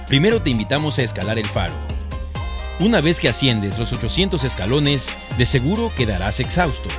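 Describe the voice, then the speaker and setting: A man with a mid-range voice narrates a tourism promo in an upbeat, inviting announcer style. Clear music plays behind the voice-over. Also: mid-range voice. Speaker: travel segment narrator.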